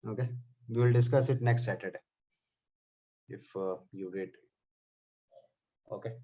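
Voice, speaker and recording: A man teaching software testing in a live online class. He speaks to students by name and keeps checking in with "okay" and "right". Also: on the low side, fairly even intonation, measured pace. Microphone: computer mic on a video call. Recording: slightly dull online call audio.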